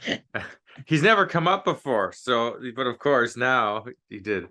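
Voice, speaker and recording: A man's voice: mid-range voice, big pitch swings, a little slow, sharp tone. A man hosting a podcast in a casual, chatty way, poking fun at himself as he talks. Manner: chatty, self-deprecating, jokes at himself.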